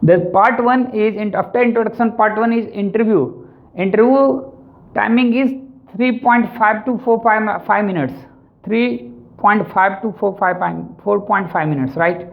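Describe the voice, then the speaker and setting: High-voiced Indian English teacher, tinny. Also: male voice, measured pace, gravelly. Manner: repetitive, keeps adding "right?" and "actually". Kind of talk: spoken lesson on exam tips. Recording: tinny, phone-like sound.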